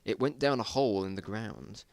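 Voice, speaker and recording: A man's voice: lowish voice, normal speed, vocal fry, dry recording. Wry male story narrator, dry sound. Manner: wry, self-mocking. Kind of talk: amateur dramatic story reading with wry asides.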